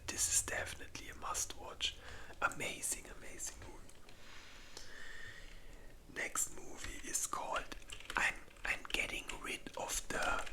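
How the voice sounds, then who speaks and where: Slow, bright ASMR whisperer. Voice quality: breathy unvoiced whisper. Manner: hesitant, halting, informal. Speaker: ASMR video creator. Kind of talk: whispered ASMR movie list.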